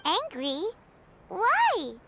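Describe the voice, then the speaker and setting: A woman voicing a tiny, excitable, childlike fairy companion in a video game, with a squeaky voice. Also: extremely slow, very noisy, full character voice. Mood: astonished. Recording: loud game music behind.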